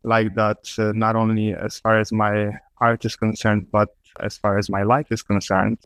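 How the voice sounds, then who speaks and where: A middle-aged man from Poland speaks in a low, even voice, smooth and clean. He answers thoughtfully, with small fillers and restarts, in a dry, close sound. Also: dry recording. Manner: reflective, measured, with fillers and restarts. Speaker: guest artist. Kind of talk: interview answers. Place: remote call from abroad.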